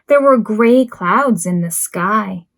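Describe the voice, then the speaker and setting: A woman hosting a preschool storytime as a children's librarian, reading picture books aloud expressively with drawn-out words. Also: medium pitch. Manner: expressive, drawn-out words and acted sound effects.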